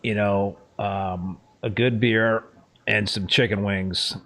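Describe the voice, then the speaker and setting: A man's voice: on the low side, steady tone, takes it slowly, a bit bright. A man hosting a live talk stream, chatting easily with fillers and repeated words. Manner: easygoing, fillers, repeats words, reads viewer messages. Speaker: live show host. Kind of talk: casual live-stream chat.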